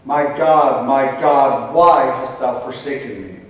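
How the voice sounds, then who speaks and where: A man playing the crucified Jesus in a church dramatic reading, crying out loudly in anguish. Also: mid-range voice, close to an everyday voice. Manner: loud, drawn-out crying out. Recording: echoey church hall, distant mic.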